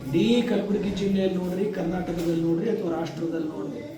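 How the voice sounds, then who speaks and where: A man with a North Karnataka Kannada accent gives an emphatic motivational speech to students in short, deliberate phrases. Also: high voice, fairly even intonation. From Karnataka, India. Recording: echoey hall, distant microphone, audience noise.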